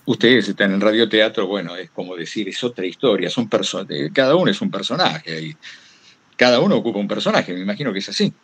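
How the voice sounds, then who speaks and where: A man's voice: on the low side, expressive, fast, a bit bright, slightly rough, dry recording. A man with an Argentine accent talking informally to a workshop group as a guest, anecdotal, hesitant and self-deprecating. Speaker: guest speaker, radio host.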